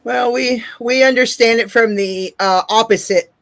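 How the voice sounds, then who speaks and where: Deep voiced woman hosting a chat, blunt, swears a lot, laughs a lot, dry close sound. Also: normal speed, dry recording. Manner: blunt, profane, laughs often. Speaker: podcast host. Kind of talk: casual interview chat.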